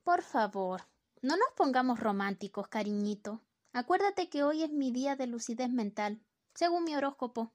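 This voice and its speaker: High, flippant scolding wife for a radio drama, played by a woman. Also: animated, normal speed, a little mellow. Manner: flippant, nagging. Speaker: student radio drama actor. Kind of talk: amateur radio play acting.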